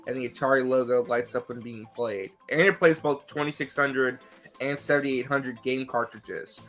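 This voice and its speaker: Brief: male co-host, upbeat, quick read of product details, faint music in the background. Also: medium pitch, a bit bright. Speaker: podcast co-host. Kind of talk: casual livestream chat.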